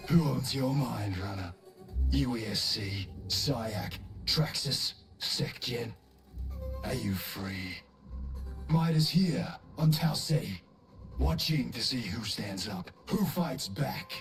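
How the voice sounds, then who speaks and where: A man playing a menacing, defiant rebel hacker in a video game, speaking in a gravelly, deliberate, provocative way. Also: mid-range voice, lively intonation, soft background music. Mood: menacing, challenging. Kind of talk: scripted video game cutscene dialogue.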